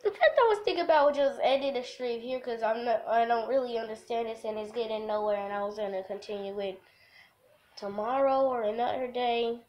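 A woman's voice: a little high, a little fast, a little mellow. A giggly woman hosting a casual game livestream, chatting and reading aloud from a visual novel. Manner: giggly, breaks off into laughter. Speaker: livestream host.

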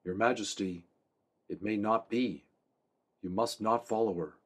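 A man reading aloud, voicing a calm, wise old magician with a husky voice. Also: mid-range voice, unhurried, voice pushed up. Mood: quiet.

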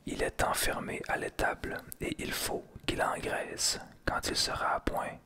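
A man's voice: a little slow, bright, forceful tone. A man whispering as a cruel old witch. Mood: commanding. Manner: whispered throughout. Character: cruel old female witch, child-eating.

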